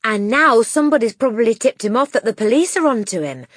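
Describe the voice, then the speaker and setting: A woman puts on a gentle schoolteacher from a storybook. She is calm and caring, speaking softly and with measured care. The voice is husky, expressive and recorded dry. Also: a little high, no room sound, pitched above the performer's own voice. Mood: matter-of-fact. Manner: quiet, soft, measured.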